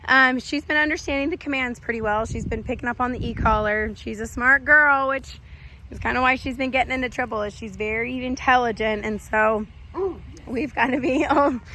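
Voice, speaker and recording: A young woman dog trainer, hoarse from losing her voice, explains a lesson in a chatty way and gives firm short commands to a dog, recorded on a phone in an outdoor park. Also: on the high side, moderate pace, sharp tone, slight background noise. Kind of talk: casual on-the-spot training explanation. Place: outdoor park with other dogs. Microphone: handheld phone camera.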